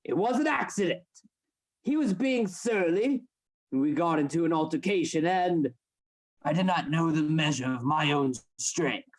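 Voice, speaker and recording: A man acts out a domineering anatomy assistant in a Victorian horror radio play. His voice is rough and husky, with big swings in pitch. He speaks in formal, theatrical commands, scheming and controlling. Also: lively intonation, measured pace, poor audio. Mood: cold, controlling. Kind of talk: live radio play acting.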